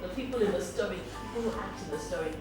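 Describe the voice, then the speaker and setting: A woman telling a story to children, pausing to explain and ask questions. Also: medium pitch. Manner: explains words, asks questions. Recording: echoey, distant mic, music behind.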